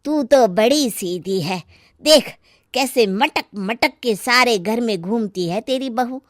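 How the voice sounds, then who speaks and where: A woman's voice: fairly high pitch, animated, slow, no echo, studio quality. A woman voicing a scheming, meddling older aunt in a Hindi story, taunting and insinuating with a sly sweetness. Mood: goading. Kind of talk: dramatized Hindi moral story.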